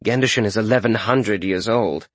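A man's voice: on the low side, normal speed, no room sound, close to an everyday voice. A man voicing a cool, calculating warrior negotiator in a fantasy audiobook, formal and controlled. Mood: cautious, questioning.

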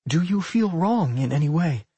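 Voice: mid-range voice, lively intonation, moderate pace, warm tone, slightly rough, no room sound, voice pushed up. A narrator voicing an anxious, caring young man in a fantasy audiobook, concerned and earnest.

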